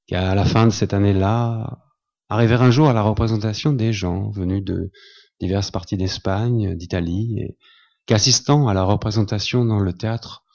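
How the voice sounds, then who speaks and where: A man reading a literary tale aloud at a tribute event, with a measured storytelling delivery, in a dull lo-fi recording. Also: fairly low pitch, a little mellow. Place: small cinema salon.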